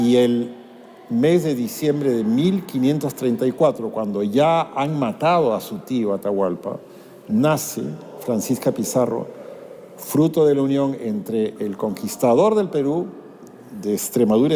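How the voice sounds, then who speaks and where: A man, an author interviewed in a museum, explaining history in a measured way with some hesitations. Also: mid-range voice, expressive, warm tone, a little hiss or hum. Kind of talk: storytelling in an interview.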